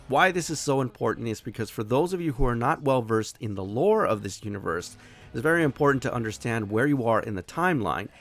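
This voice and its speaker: A man hosting his own review show, talking casually and excitedly while a little hoarse from losing his voice. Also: medium pitch. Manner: enthusiastic, animated, swears casually.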